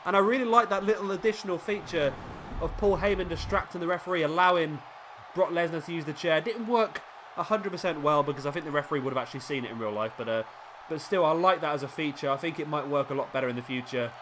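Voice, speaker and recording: Gamer commentator: man, slightly high voice, a bit sniffly from a cold, excitable play-by-play, clear background noise. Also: quick pace. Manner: excitable, rising during big moves. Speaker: gaming YouTuber commentating a wrestling game.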